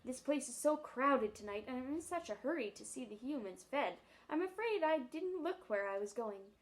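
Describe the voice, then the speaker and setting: A woman's voice: high voice, lively intonation, normal speed, low-quality recording. A woman voicing a vain male lion in a storybook reading, with a mincing, fussy manner.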